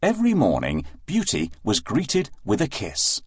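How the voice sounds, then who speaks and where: A man reads a children's story with extremely lively, swooping intonation. His medium-pitched voice is a little husky. It is recorded dry, with faint music underneath. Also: unhurried, no echo. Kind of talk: scripted children's story narration.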